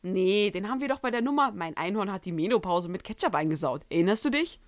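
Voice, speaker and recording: A woman's voice: medium pitch, animated, fast talker, dry recording, studio quality, lightly acted. A woman playing a mischievous winged unicorn princess in a fan audio story, with a casual, playful manner. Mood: casual, cheeky.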